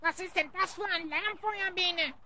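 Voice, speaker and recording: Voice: very high voice, moderate pace, sharp tone, slight background noise, heavily acted. An actor playing a small, cheeky, always hungry elf goblin who grumbles in a children's radio play. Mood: curious, excitable.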